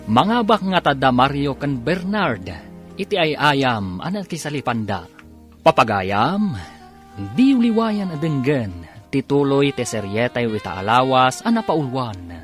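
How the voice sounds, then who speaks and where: A man's voice: mid-range voice, sing-song, unhurried. A man with an Ilocano accent reading scripted, dramatic narration as a radio drama announcer. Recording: music under the voice. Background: background music.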